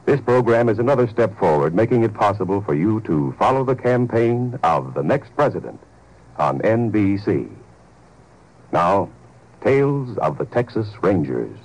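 A man's voice: lowish voice, very animated, normal speed, darkish tone, low-quality recording. A man reading a scripted network promo in formal 1950s radio announcing style. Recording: vintage radio recording.

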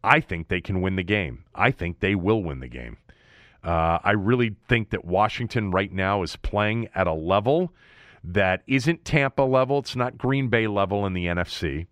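A man with a low-pitched voice talks as a sports show host. He leans on key words and stretches them for emphasis. The sound is dry, with very little room on it. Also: expressive, normal speed, fairly bright tone, no echo. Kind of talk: sports commentary with ad read.

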